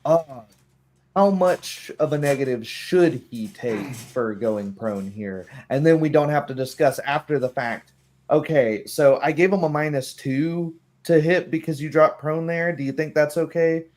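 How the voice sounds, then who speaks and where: A man's voice: a little high, unhurried, a little mellow. Man chatting casually, rambling game master. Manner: rambling, acts out imagined remarks. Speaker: game master of a tabletop roleplaying group. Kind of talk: casual chat about tabletop game rules.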